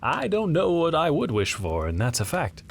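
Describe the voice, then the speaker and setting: A man voicing an excitable, credulous old father with an eager, wavering manner. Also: fairly high pitch, moderate pace, creaky, over music, voice pushed up, full character voice. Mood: hesitant. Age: older.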